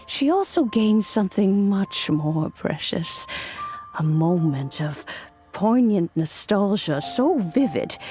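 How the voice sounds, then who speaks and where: A woman's voice: on the low side, warm tone. An actress playing a serene, wise immortal adeptus in a video game, telling old legends in a formal, reverent way with music behind her. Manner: measured, formal, solemn storytelling delivery. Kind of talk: dramatic video game cutscene narration. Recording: background music under voice.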